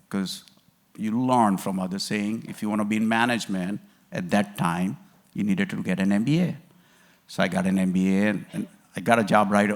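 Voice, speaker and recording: A man in his sixties from Kenya, giving a rambling conference talk with lots of fillers and restarts. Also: lowish voice. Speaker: conference speaker.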